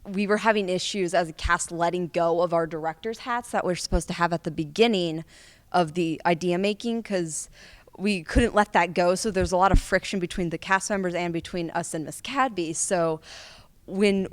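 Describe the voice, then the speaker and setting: A woman's voice: lowish voice, moderate pace. A young woman, a student actor, chatting casually and animatedly in an interview. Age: young adult.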